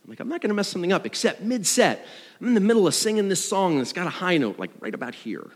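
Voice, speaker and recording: A man tells a personal testimony to a congregation in a conversational, self-deprecating way, acting out dialogue and thoughts like an animated storyteller. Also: sits high, racing. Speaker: worship leader. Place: large room, speaking to an audience. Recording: live hall with long reverb.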